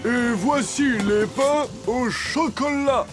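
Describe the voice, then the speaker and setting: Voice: mid-range voice, takes it slowly, over music, natural voice. An actor voicing a cheeky, boastful schoolboy in a French cartoon, with a teasing, theatrical manner. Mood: showy. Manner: teasing, theatrical, mock-servile.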